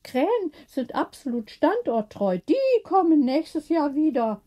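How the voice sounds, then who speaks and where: Woman playing a high-voiced, animated old lady. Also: a little slow. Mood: amused. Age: older. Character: lively, confident elderly lady neighbour. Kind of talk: acted audiobook dialogue.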